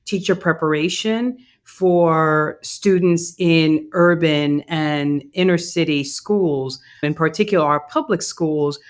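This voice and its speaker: A woman's voice: sits low, slow pace, few pauses. Reflective Cuban-born woman, native Spanish speaker. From Cuba. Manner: reflective, with fillers and restarts. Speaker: podcast guest, DEI consultant. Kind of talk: conversational interview answers.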